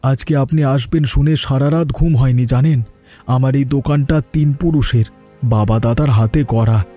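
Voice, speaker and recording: A man's voice: medium pitch, steady tone, moderate pace, dark tone, faint music behind, lightly acted. A man playing a hospitable, struggling middle-aged village shopkeeper in a cartoon. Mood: hopeful, downcast. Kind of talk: cartoon dialogue dub.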